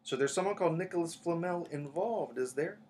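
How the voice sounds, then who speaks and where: A man's voice: fairly high pitch, animated, brisk, darkish tone, a little hiss or hum, voice pushed up, close to an everyday voice. A man voicing an earnest, eager young schoolboy wizard in a storybook, speaking in a direct way.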